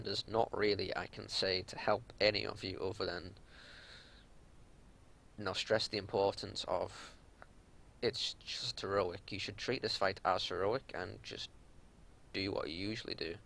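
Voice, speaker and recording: A man's voice: sits low, vocal fry, fairly bright tone. A man giving unscripted game analysis as a raid leader, explaining things in an exasperated, matter-of-fact way. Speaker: raid leader reviewing gameplay.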